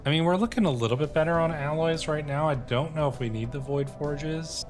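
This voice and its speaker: A man's voice: fairly high pitch, fairly quick. A man giving casual gameplay commentary, thinking aloud tentatively and sometimes reading game text out. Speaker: video game streamer and host. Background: game music.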